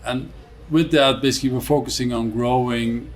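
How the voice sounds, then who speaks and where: Man, Dutch accent, mid-pitched voice, measured explanatory delivery, repeated words, conversational interview guest. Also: fairly even intonation. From Netherlands. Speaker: chief executive of a hotel company, interview guest.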